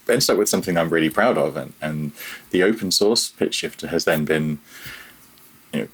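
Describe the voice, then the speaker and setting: A man's voice: deep voice, fairly bright tone, husky. A man talking casually as an interview guest, candid and self-deprecating with a wry manner. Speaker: podcast guest, audio software developer.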